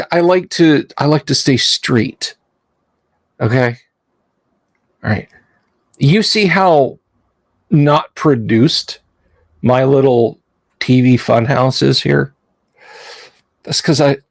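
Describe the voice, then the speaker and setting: A man's voice: medium pitch, no room sound. A man hosting a casual livestream chat, rambling and self-deprecating, often restarting his sentences. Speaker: livestream host.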